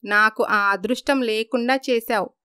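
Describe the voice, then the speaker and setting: A woman's voice: a little high, steady tone, unhurried, bright, forceful tone, smooth, no echo, clean studio sound. A woman voicing a hurt, tearful young daughter-in-law in a moral story. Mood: anguished.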